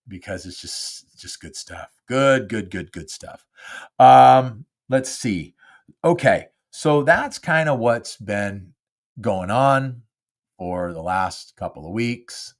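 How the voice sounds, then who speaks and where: A man's voice: medium pitch, creaky. A man hosting his own podcast, chatting casually and rambling with lots of fillers while nasal and congested from a cold. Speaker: podcast host. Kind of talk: casual solo monologue. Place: home studio.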